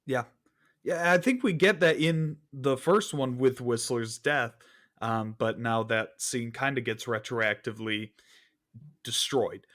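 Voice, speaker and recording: A man's voice: medium pitch, a little slow, fairly bright tone. A man speaking as a podcast guest in a casual film review chat, candid and conversational with rhetorical asides.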